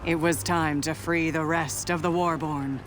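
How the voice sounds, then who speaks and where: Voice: mid-range voice, fairly even intonation, unhurried, lightly acted. An actor as a terse Viking raider. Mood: grave. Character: terse, stern Viking raider recounting the war. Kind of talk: scripted video game cutscene narration and dialogue. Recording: music behind the voice.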